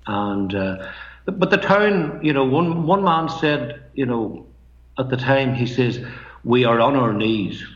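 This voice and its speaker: Middle-aged Northern Irish storyteller, soft-spoken and measured, dull roomy line. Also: male voice, medium pitch, expressive. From Northern Ireland. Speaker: guest poet and storyteller. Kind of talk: reflective interview talk. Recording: muffled, roomy remote line.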